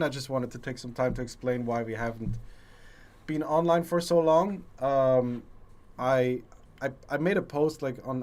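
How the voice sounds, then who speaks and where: A man hosting a casual livestream chat, talking haltingly with many fillers and restarts, his voice strained and deeper from a long illness. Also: darkish tone. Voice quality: deeper, rough voice. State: voice strained from a long lung infection. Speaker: livestream host.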